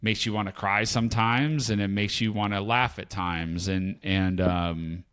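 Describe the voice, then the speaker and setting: A man in his fifties with a fairly low voice talks in a reflective, unhurried way. He rambles and restarts his sentences in casual podcast chat. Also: steady tone, fairly bright tone, smooth. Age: 50s. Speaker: podcast co-host, parent.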